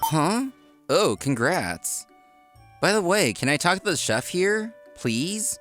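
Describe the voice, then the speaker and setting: A man's voice: a little high, big pitch swings, takes it slowly, fairly bright tone, heavily acted. A man acts out a hardworking young restaurateur in a manga drama. His delivery moves expressively between sincere narration and easily flustered dialogue. Music plays behind him. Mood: eager, flustered. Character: earnest, work-obsessed young male restaurant owner, easily flustered. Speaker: narrator and lead character.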